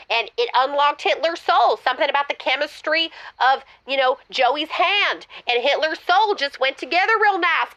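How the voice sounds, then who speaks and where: Very high-pitched, needy, distraught female caller. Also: bright, forceful tone, no room sound, exaggerated voice. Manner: earnest, plaintive, dramatic. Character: distraught, needy woman caller who suspects her partner. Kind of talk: improvised comedy call-in radio show.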